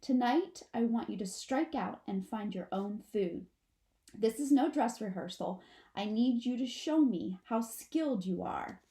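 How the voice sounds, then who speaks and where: A woman's voice: fairly high pitch, lively intonation, measured pace, dark tone. A woman voicing a mother possum in a storybook, a firm but wistful parent with a stagey, instructing manner. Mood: firm, rousing.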